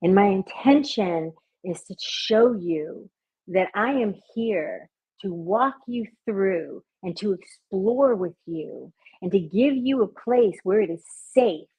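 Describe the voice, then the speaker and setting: A woman working as a hypnotist, talking in an interview with a soft, soothing voice. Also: mid-range voice, slightly rough, phone-quality sound. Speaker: hypnotist and party performer. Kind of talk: conversational interview answers.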